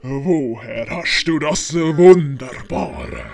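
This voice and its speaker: Woman playing a slow, scheming Swiss villain master. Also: sits low, a crowd in the background, pitched below the performer's own voice, exaggerated voice. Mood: gloating, scheming. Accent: Swiss German. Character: scheming, easily delighted male villain master. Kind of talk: hobby audiobook character acting.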